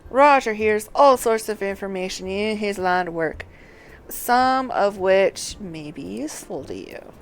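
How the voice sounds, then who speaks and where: A woman's voice: medium pitch, expressive, a little slow, slight background noise, poor audio. A woman game streamer talking through a walkthrough, reading on-screen text aloud and muttering to herself in between.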